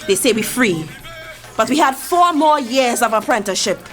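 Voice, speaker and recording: A proud older Trinidadian matriarch holds the floor, as an actress plays her, recounting history with fierce conviction. Her voice sits a little high. Music plays under the radio-drama scene. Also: lively intonation, normal speed, fairly bright tone. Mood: impassioned. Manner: storytelling, impassioned, preaching history. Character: Mavis, older community matriarch, proud and forceful. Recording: music behind the voice.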